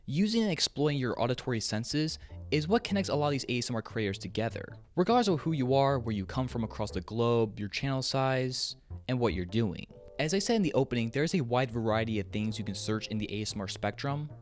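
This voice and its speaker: A man hosting a video essay, giving scripted, opinionated commentary with casual, wry asides. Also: medium pitch. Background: background music.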